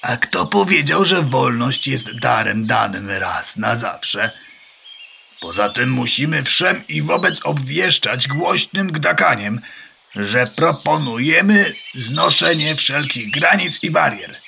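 A man's voice: mid-range voice, expressive, slow pace, gravelly, background noise, heavily acted. A man playing an earnest, pompous farmyard rooster who declaims in a children's radio play. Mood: lofty, rallying. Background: birdsong.

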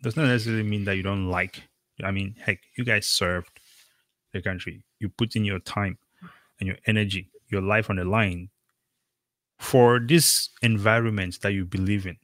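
A man's voice: lowish voice, fairly even intonation, moderate pace, fairly bright tone, no room sound. A middle-aged man from Cameroon hosting an interview over a video call, talking casually and emphatically with many fillers. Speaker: podcast host.

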